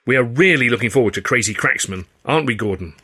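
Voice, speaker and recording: Man playing a brisk, prosperous theatrical agent. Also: mid-range voice, animated, sharp tone, breathy edge. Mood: confident. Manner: hearty, self-assured.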